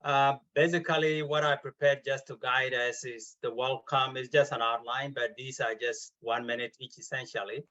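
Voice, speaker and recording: A man's voice: mid-range voice, fairly even intonation, normal speed, hardly any pauses, pushed and bright, highs cut off. A man chairing an award session over a video call, speaking formally and politely with frequent ums and uhs. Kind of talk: formal welcome and introduction.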